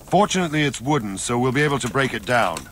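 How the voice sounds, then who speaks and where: An actor playing a grizzled older soldier in a video game, blunt, fatherly and resolute, with a measured, commanding delivery. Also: medium pitch, expressive, a bit bright, slight background noise, lightly acted. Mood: resolute, instructing. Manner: measured, plain-spoken, commanding. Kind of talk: dramatic video game cutscene acting. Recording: music behind the voice.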